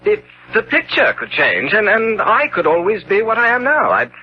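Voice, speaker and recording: Impressionable young gentleman, high-voiced, old radio. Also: huge pitch swings, normal speed. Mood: distressed. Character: handsome, impressionable Victorian young gentleman, anxious about aging. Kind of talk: 1970s radio drama acting. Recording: dull, noisy 1970s radio recording.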